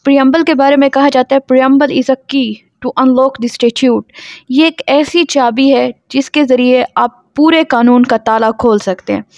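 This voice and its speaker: A Pakistani-accented woman teaches law in a high voice. She speaks didactically, setting out questions and then explaining the answers. The recording is dry and close with a slightly dull, lo-fi tone. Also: steady tone, measured pace, a little mellow, no echo. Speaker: law lecturer. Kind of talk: scripted-outline lecture explaining a statute, reading clauses aloud.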